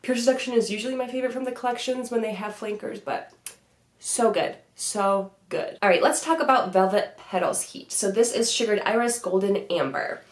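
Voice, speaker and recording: A woman's voice: mid-range voice, fairly quick. Casting: young woman, stuffy from a cold, upbeat chatty vlogger, filler-heavy, recorded in a slightly echoey room. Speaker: beauty vlogger. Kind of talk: casual product review. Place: bedroom vanity room, indoors.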